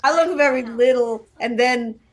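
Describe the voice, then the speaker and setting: A woman's voice: fairly high pitch, normal speed. An older woman, a singer and retired teacher, chatting cheerfully on a video call and laughing often. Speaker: singer and musical writer, guest. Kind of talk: casual video call chat.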